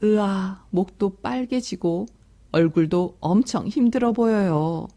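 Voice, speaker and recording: A woman's voice: mid-range voice, takes it slowly, mellow. A woman storytelling teacher reading a picture book to young children, soothing and chatting to them along the way. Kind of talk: picture book read-aloud with commentary to children.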